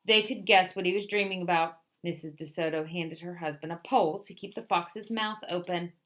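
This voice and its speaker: A woman reading a children's picture book aloud in an expressive storytelling way. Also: fairly low pitch, moderate pace.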